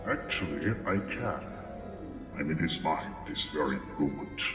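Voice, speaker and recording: A man voicing a calm, wise alien Jedi master in a comic dub, with measured authority. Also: rumbling low, loud music behind the voice, full character voice. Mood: calm, grave.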